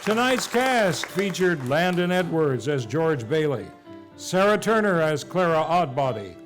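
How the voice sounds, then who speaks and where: A man announces the closing credits of a live radio theater show. His voice is high for a man, and he reads from a script. Faint music plays behind him. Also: sing-song, unhurried, a faint crowd.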